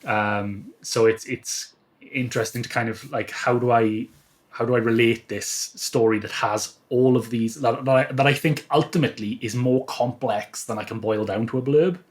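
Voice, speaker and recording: A man speaking as a guest author in a casual interview, explaining things in a thoughtful, halting way. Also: mid-range voice, steady tone.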